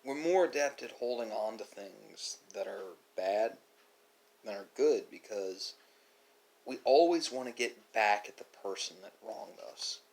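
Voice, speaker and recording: A man giving a reflective, philosophical monologue as an online host, speaking haltingly with drawn-out pauses. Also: on the low side, expressive, darkish tone. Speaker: host of an ASMR mukbang channel.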